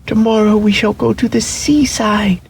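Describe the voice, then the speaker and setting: A man voicing a small, eager, hopeful toy rabbit in a storybook reading, with excited childlike delivery. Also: high voice, normal speed, gravelly, slight background noise, pitched above the performer's own voice.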